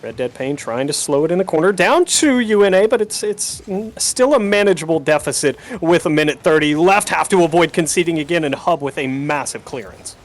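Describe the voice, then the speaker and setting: A man's voice: a little high, animated, a little fast, few pauses. A man doing live play-by-play commentary of a video game match, using sportscaster slang. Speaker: esports commentator, play-by-play caster.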